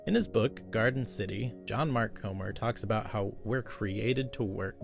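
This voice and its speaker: A man's voice: lowish voice, normal speed, vocal fry. A man giving scripted, reflective narration. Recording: voice-over with background music. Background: music.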